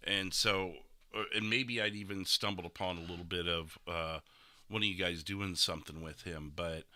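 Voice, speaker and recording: A man's voice: low-pitched, sharp tone, no room sound. A man hosting a casual livestream discussion, explaining and commenting in a conversational way. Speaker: host, livestreamer.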